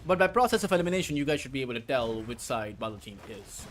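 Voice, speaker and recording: A man who speaks Urdu as his first language, casting a match alone, with rambling asides between quick play calls. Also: medium pitch, lively intonation, slight background noise. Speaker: esports caster. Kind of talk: solo play-by-play commentary.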